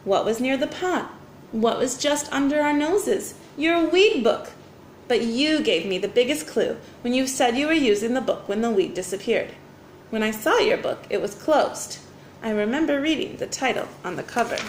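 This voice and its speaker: A methodical, self-important boy detective from a storybook, read by a woman. The voice is fairly high and very animated, explaining emphatically in an echoey room. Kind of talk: storybook character dialogue. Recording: roomy echo, faint background noise.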